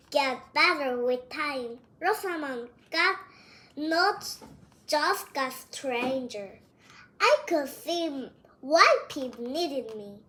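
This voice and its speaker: A child reading a children's storybook aloud, halting word by word and stumbling over some of the words. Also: high-pitched, a bit bright. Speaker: young reader.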